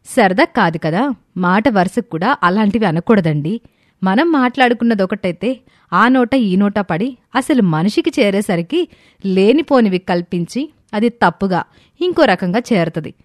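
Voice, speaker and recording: A woman's voice: mid-range voice, animated, moderate pace, no echo, studio quality, close to an everyday voice. A woman playing a young village daughter-in-law who speaks earnestly and firmly in a storybook. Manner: earnest, firm, clearly spoken.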